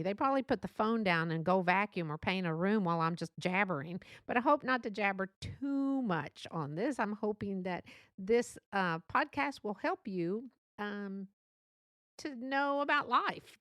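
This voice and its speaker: A woman's voice: medium pitch, expressive, moderate pace, a bit bright, no echo, studio quality. Chatty middle-aged Texan woman podcaster. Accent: light Texan. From Texas. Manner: chatty, upbeat, many fillers and restarts. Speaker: podcast host. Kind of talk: solo podcast introduction.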